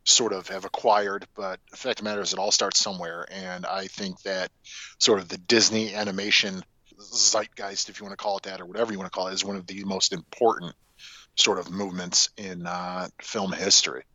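A man with a lowish, bright and forceful voice co-hosts a podcast. He chats casually with a wry, informal manner. The recording is very dry and close. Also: level tone, a little fast, no echo, dull audio. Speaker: podcast co-host. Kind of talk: casual movie podcast chat.

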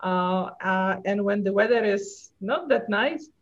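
A woman's voice: medium pitch, measured pace. Cheerful Polish-accented woman, lo-fi audio. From Poland. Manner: chatty and cheerful, laughs between phrases. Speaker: guest, pathologist. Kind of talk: casual chat. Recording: dull lo-fi audio.

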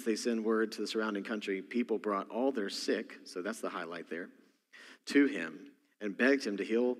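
Texan pastor preaching in a reverberant hall. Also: male voice, fairly low pitch. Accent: Texas. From Texas. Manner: folksy, jokey storytelling with asides. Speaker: senior pastor. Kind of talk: sermon. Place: church sanctuary. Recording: long church hall reverb.

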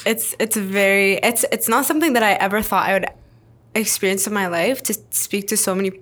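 A woman's voice: mid-range voice, brisk, fairly bright tone. Enthusiastic woman chatting. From Canada. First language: Arabic. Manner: enthusiastic, laughs often, repeats words. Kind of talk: casual chat.

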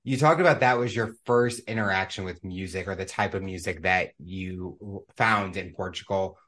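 A man's voice: sits low, normal speed, fairly bright tone. A man hosting a podcast, asking his guest eager, upbeat questions. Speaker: podcast host. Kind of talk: interview hosting.

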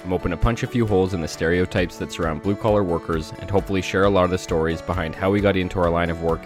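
A man's voice: sits low, steady tone, racing, over music. A man hosting a casual solo podcast, sounding slightly nasal and stuffy from a cold, rambling with many fillers and restarts. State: congested, under the weather. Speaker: podcast host.

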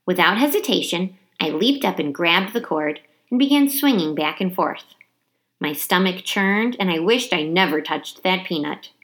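A woman reading a children's storybook aloud with dramatic, animated delivery and emphasized words. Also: fairly low pitch. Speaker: teacher reading a book aloud. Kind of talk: expressive children's storybook narration.

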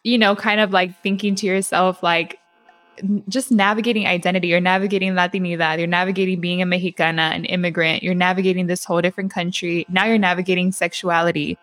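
A woman's voice: fairly low pitch, flat delivery, quick pace, a bit bright. A woman hosting a conversational interview, enthusiastic and casual, asking a guest to open up. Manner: enthusiastic, uses casual slang. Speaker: host.

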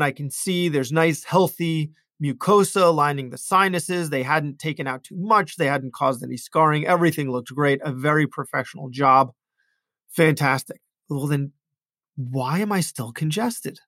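A male ENT physician talks through patient stories in a relaxed, conversational way. His voice sits a bit high for a man, and he often repeats words and restarts sentences as he thinks aloud. The recording is close and dry, with no room sound. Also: no echo. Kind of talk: conversational talk about patient cases.

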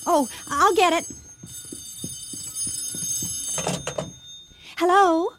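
An actress playing an earnest, anxious wife with a hesitant, expressive manner in a radio drama. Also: female voice, very high-pitched, moderate pace, background noise. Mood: bright, surprised.

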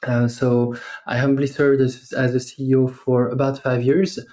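A man in his thirties from France with a French accent, a podcast guest speaking in a measured way with many fillers and pauses. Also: medium pitch, little pitch movement, mellow. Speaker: guest, startup investor. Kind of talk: podcast interview.

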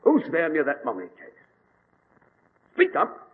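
Slow, wildly swooping frightened night guard. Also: male voice, on the high side, wildly swooping pitch. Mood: nervous. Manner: slow, halting, calling out. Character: frightened, lone male museum night guard. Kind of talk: 1940s radio acting. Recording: muffled, band-limited 1940s radio recording.

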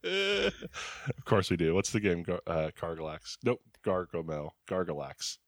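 A man's voice: low-pitched, expressive, a little slow, dry recording. A man co-hosting a comedy podcast, playing along with dry, teasing banter. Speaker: podcast co-host. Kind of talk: casual comedic podcast chat.

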